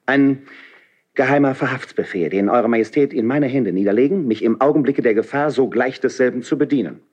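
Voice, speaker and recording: An actor playing a composed, calculating marquis in a classical radio drama, husky, speaking in a measured, discreet, courtly manner. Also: male voice, medium pitch, darkish tone, lightly acted. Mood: measured, resolute. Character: composed, calculating marquis advising his king. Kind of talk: classical radio stage acting.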